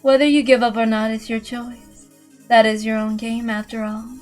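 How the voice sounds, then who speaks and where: A woman voicing a cruelly composed, imperious witch in a measured, condescending way for a visual novel reading. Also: on the high side, little pitch movement, soft background music, lightly acted. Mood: cold, condescending.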